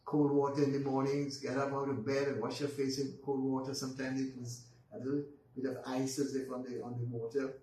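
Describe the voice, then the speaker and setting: A man in his eighties with a Barbadian accent, telling stories of his life as a guest in an unhurried way, often pausing with ums and restarting. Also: medium pitch, monotone, warm tone. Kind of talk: reminiscing storytelling in conversation. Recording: roomy echo, distant mic, faint noise.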